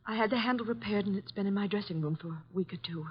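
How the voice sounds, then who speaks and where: An actress playing an anxious young stage star in an old radio melodrama, breathy, faltering and pleading. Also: female voice, medium pitch, fast, natural voice. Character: shaken young glamorous actress, anxious murder suspect. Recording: dull, lo-fi old radio recording.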